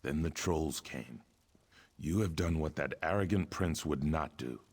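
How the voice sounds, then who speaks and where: A very deep, rough-edged male warrior for a fantasy game. He is proud and solemn, with grave, formal, measured phrasing and a husky tone. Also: darkish tone, exaggerated voice. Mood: grave, respectful. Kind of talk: video game character dialogue.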